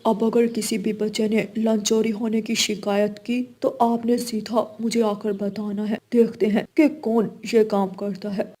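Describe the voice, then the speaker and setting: A woman's voice: mid-range voice, flat delivery, a little slow, few pauses, dark tone, natural voice. A woman voicing a stern, authoritative bird school principal in a children's cartoon, firm and commanding.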